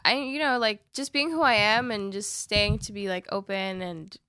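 A woman's voice: mid-range voice, moderate pace, clean tone, dry recording, clean studio sound. A woman in her twenties from Queens, a native Spanish speaker, chatting casually as a podcast guest with many fillers and frequent laughs. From Queens, New York. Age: 20s. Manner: relaxed, filler-heavy, laughing often. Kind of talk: casual interview chat.

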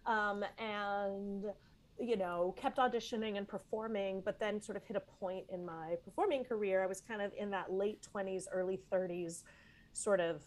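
A woman's voice: medium pitch, fairly even intonation, moderate pace, slight background noise, poor audio. A woman in her forties, originally from Texas, speaks as an interview guest. She tells her story conversationally, full of fillers and restarts, in a natural mid-range voice. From East Texas, US. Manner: chatty, lots of fillers and restarts. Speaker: guest, vocal coach and former Broadway performer.

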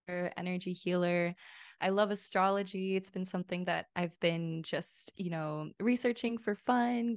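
A woman guest who grew up between Lithuania and the United States, talking in a relaxed, reflective way with light laughs. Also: lowish voice, clean tone, no room sound. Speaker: podcast guest, energy healer. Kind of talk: casual interview chat.

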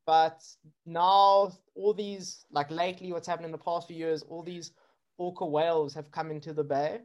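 A man's voice: fairly high pitch, normal speed, lo-fi sound. A man from South Africa, a surfer who films with drones, chatting casually in an interview with lots of fillers. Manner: relaxed, chatty, many fillers and laughs.

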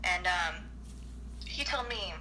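A woman's voice: fairly low pitch, lively intonation, takes it slowly, bright, forceful tone, a little hiss or hum, rough recording. A woman speaking candidly in casual conversation, plainspoken and reflective.

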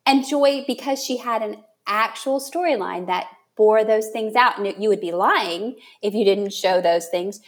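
A woman hosting a podcast interview, responding in a conversational, effusive and expressive way. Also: medium pitch, measured pace, hardly any pauses. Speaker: podcast host.

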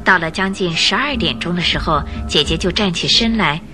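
A woman's voice: lowish voice, moderate pace. A woman narrator reading scripted storytelling narration for a radio drama. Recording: radio drama with music behind.

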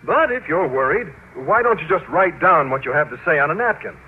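Actor as a naive, highish-voiced man, animated. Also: brisk, natural voice. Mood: earnest. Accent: American. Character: bashful, naive, mild-mannered male teacher. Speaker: actor in radio comedy. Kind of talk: 1940s radio sitcom acting. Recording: tinny, noisy vintage radio recording.

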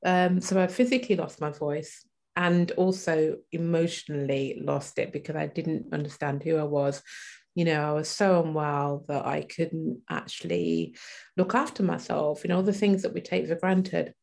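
A woman in her forties telling her personal story as an interview guest, reflective and halting as she pauses mid-thought. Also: deep voice, fairly even intonation, creaky, darkish tone. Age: 40s.

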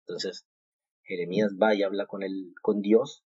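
A man from Colombia in his thirties hosting a podcast, sharing a devotional thought in a halting way, with many fillers and restarts. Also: low-pitched, little pitch movement, darkish tone, husky, dry recording. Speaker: podcast host. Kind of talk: casual devotional talk. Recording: muffled, dull home recording.

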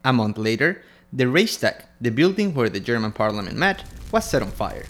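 A man's voice: medium pitch, sing-song, normal speed, fairly bright tone. A man from Ecuador co-hosting a history podcast, reading scripted narration in turns with his co-host.

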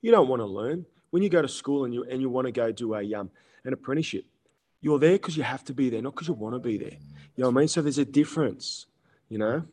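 A man's voice: mid-range voice, expressive, fast, a little mellow. This is a man in his late thirties chatting on a podcast. He rambles through stories with lots of ums and easy laughter, in a medium voice. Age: 30s. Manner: laid-back storytelling with frequent ums and laughs. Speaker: guest. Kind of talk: casual podcast chat.

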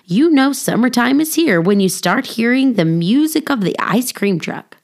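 A woman's voice: a little high, expressive, normal speed, a little mellow. A woman voicing a kindly grown man in a children's storybook, speaking patiently and reassuringly. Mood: friendly, explaining. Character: kindly, reassuring grown man looking after a pet monkey.

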